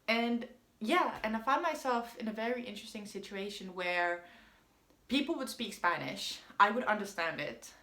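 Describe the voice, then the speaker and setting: A woman's voice: mid-range voice, animated, moderate pace, pushed and bright. A woman whose first language is French, telling a casual personal story in a rambling, chatty way with frequent ums. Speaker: vlogger. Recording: roomy echo.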